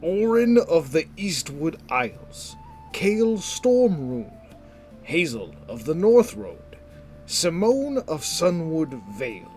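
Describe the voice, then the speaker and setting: A man voicing a calm, authoritative guildmaster with a measured, commanding delivery, in a fantasy audio story. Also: a little high, soft background music, voice pushed up. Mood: announcing names. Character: calm, authoritative scarred male guildmaster addressing recruits.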